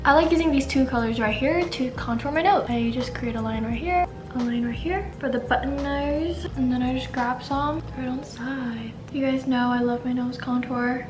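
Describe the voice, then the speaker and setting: A young woman with a high voice chatting through her makeup routine, upbeat and full of casual filler words. She sounds a little stuffy and congested, and the home room around her rings with a noticeable echo. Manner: chatty, upbeat, says "like" and "freaking" a lot. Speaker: beauty vlogger. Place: bright living room at home.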